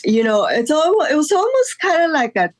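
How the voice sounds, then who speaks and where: A woman from Guatemala, a guest artist, talking casually in an interview with many fillers and soft laughs. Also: high-pitched, big pitch swings, normal speed, dry recording, clean studio sound. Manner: rambling, lots of fillers and laughs.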